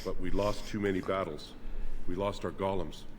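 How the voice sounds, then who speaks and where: An actor playing a formal, proud dwarven keeper of history in a fantasy video game, speaking in a solemn, measured way. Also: male voice, low-pitched, fairly even intonation, slight background noise, close to an everyday voice. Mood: solemn, lecturing.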